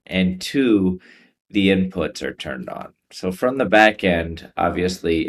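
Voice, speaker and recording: Low-voiced man presenting a tutorial. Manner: informal, explanatory step-by-step delivery. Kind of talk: software tutorial walkthrough.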